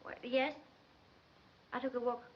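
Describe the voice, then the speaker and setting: A woman's voice: a little high, level tone, unhurried, lightly acted. A young woman playing a cornered nineteen-year-old schoolgirl, both defiant and pleading, in an old film melodrama. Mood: timid, guarded. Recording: dull, noisy old film soundtrack.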